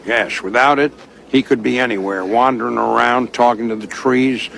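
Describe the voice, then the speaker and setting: An actor playing a crude, scornful man with a gravelly voice in scripted screen dialogue. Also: mid-range voice, steady tone, moderate pace, fairly bright tone, rough recording.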